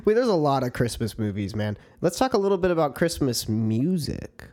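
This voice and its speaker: A man's voice: mid-range voice, big pitch swings, fairly quick, darkish tone. A young man co-hosting a podcast in casual chat, easygoing and agreeable, laughing along with his friend. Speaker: podcast co-host.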